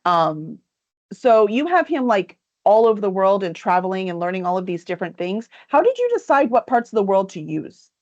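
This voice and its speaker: A woman's voice: mid-range voice, big pitch swings, normal speed, darkish tone, lo-fi sound. A woman hosting a livestream, chatting upbeat and casual about a book and reading its cover copy aloud. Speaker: livestream host.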